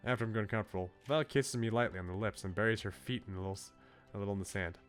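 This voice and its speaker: A man's voice: on the low side, racing. A man doing casual livestream commentary, reading a visual novel aloud with playful, dry asides. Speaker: game streamer. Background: game music.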